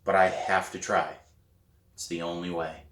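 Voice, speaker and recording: A man reading a children's novel aloud as its author, in an animated storytelling manner. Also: deep voice, measured pace. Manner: animated, acts out dialogue. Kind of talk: expressive audiobook-style read aloud of a children's novel. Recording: roomy echo.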